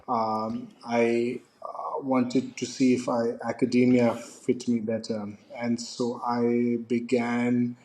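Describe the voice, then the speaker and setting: A man's voice: mid-range voice, mellow, low-quality recording. A man from Pakistan, a political scientist guest, answering interview questions politely and with some restarts.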